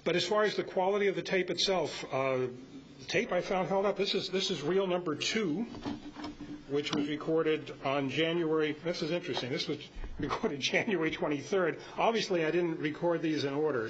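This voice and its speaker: An older man gives a halting, anecdotal talk to a club meeting. His voice is a little high for a man. The live recording is dull, with faint noise behind him. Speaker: club speaker presenting on audio tape. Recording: muffled, slightly noisy meeting-room recording.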